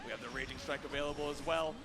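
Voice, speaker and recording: A man's voice: mid-range voice, fairly even intonation, racing. A man commentating a fighting game match live, play-by-play, on an esports broadcast. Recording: broadcast with prominent music behind. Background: music.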